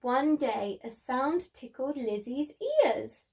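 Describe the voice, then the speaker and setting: Slow, high-voiced woman at story time. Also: vocal fry, warm tone, husky. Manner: encouraging, addressing small children. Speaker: preschool story-time presenter. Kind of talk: storytelling for young children.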